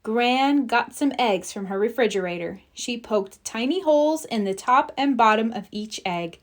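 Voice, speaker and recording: A woman's voice: fairly high pitch, expressive, moderate pace. A woman narrating a scripted children's story as a read-aloud.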